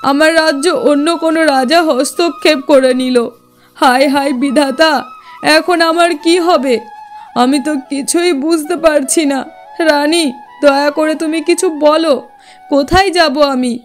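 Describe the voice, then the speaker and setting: A woman's voice: high-pitched, steady tone, normal speed, soft background music, natural voice. A woman voicing a remorseful, lamenting king who has lost everything, in melodramatic cartoon fairy-tale style. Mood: despairing. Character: ruined, remorseful old king lamenting his fate.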